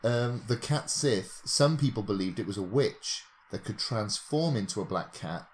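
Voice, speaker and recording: A man's voice: lowish voice, expressive, moderate pace, a little hiss or hum. A British man in casual, jokey podcast banter, rambling as he talks. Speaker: podcast co-host.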